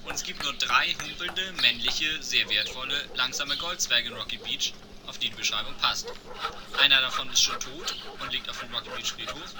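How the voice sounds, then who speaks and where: A man playing a matter-of-fact, deadpan young researcher detective in a parody audio drama. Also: mid-range voice, normal speed, natural voice. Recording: noisy amateur recording with clicks.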